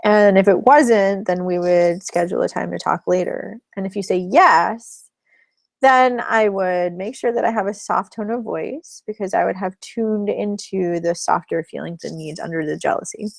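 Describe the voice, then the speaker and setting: A woman's voice: medium pitch, normal speed, gravelly, no echo. A woman relationship coach teaching a live webinar in a chatty, explanatory way, laughing between points.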